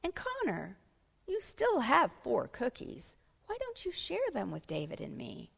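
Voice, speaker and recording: A woman's voice: high-pitched, wildly swooping pitch, unhurried, creaky, slightly rough, big-room sound, pitched above the performer's own voice, heavily acted. A woman reading a children's storybook, voicing a helpful young schoolboy who kindly corrects his friends. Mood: pleading. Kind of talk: children's storybook read-aloud.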